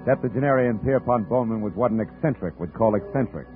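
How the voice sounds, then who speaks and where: An actor playing a dry, wisecracking hard-boiled private detective who also narrates, in a 1950s radio drama. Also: male voice, lowish voice, fast, lightly acted. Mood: narrating. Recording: tinny old radio broadcast, faint music.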